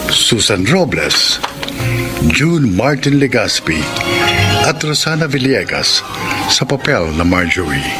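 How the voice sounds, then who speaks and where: A man announcing and introducing a radio drama in a scripted, dramatic style. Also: medium pitch. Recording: prominent music under the voice.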